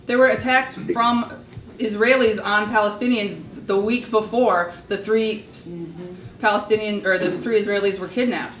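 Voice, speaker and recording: A woman activist making an impassioned, accusatory argument in a forceful way. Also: mid-range voice, steady tone, moderate pace. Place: conference room, far camera mic. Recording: distant mic, echoey room, faint noise.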